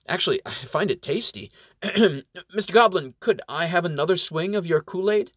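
A man's voice: high voice, animated, moderate pace, a bit bright, no room sound, voice pushed up. A know-it-all woman ranger who explains plainly and a bit primly, performed by a male narrator. Her voice is lifted above a man's usual register, and the sound is dry and close. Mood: explaining. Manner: lecturing, matter-of-fact. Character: prim, learned woman ranger.

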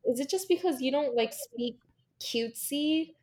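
A young woman from the United States co-hosting a podcast, chatting casually in an animated, exclamatory way with laughs. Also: sits high, moderate pace. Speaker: podcast co-host. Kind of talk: casual chat.

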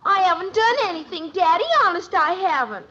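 A muffled vintage radio recording of a little American girl in a family comedy. She is very high and piercingly bright, stubborn, whiny and excitable. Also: a little fast, heavily acted. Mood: defensive. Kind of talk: 1950s radio situation comedy acting.

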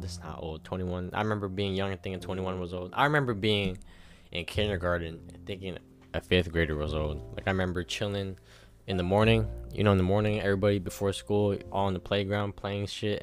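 A twenty-one-year-old man hosting a solo podcast, rambling casually with lots of swearing and pep-talk energy. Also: low voice. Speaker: podcast host.

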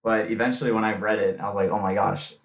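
A young man in his twenties talking casually as an interview guest, chatty and quick to laugh. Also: fairly low pitch, level tone, poor audio. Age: 20s. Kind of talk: casual conversation.

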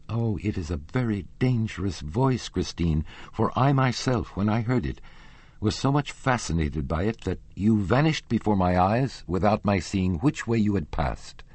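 Storybook young aristocrat, played by a male narrator: lovesick, impassioned, formal, with a husky edge. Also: fairly low pitch, a little mellow, no echo, voice pushed up. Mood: pleading, urgent. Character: anguished, pleading young male lovesick nobleman.

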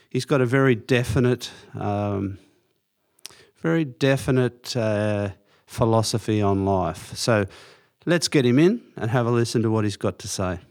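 A man hosting a podcast in a studio, asking relaxed, short interview questions with plenty of fillers. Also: fairly low pitch. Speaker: podcast host. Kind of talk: casual interview.

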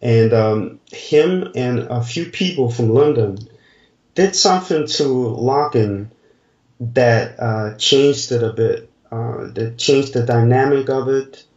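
Guy in his 40s talking slow and halting, sounds far off and echoey, dull audio. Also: medium pitch, a little mellow. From Denmark. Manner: slow, halting, searching for words. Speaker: dancer guest. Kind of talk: casual interview answers. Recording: distant, roomy, dull remote audio.

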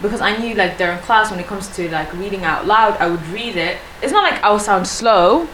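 A woman's voice: medium pitch, brisk, fairly bright tone, slight background noise. Chatty young Swedish woman in echoey room. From Sweden. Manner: chatty, many fillers, acts out her own past reactions. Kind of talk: casual chat.

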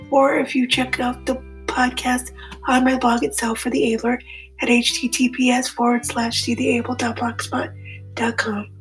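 A woman's voice: a little high, poor audio. A woman hosting a podcast, recording a casual update to her listeners while sick with a virus. She talks apologetically and halts often with restarts. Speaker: podcast host.

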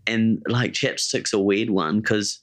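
A young man with a New Zealand accent talks in a fairly low, somewhat monotone voice. His delivery is laid-back and rambling, with plenty of "like" and "eh" as he chats on a podcast. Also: slow. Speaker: podcast co-host. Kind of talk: casual chat.

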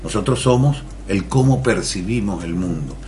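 Venezuelan guy with a real low voice, explaining stuff earnestly on a radio show. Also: animated, normal speed, mellow, slight background noise. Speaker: guest on mental health. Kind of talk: radio interview.

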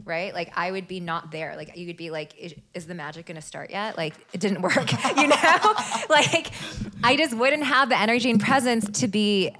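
A woman's voice: mid-range voice, animated, normal speed, bright, forceful tone. A woman guest on a podcast, talking earnestly and fluently, peppering her speech with "like" and "right?". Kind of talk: casual podcast chat.

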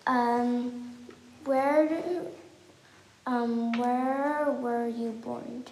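A woman's voice: a little high, steady tone, drawn-out words, darkish tone, reverberant, a little hiss or hum, low-quality recording. A woman interviewer asking questions hesitantly, with many ums.

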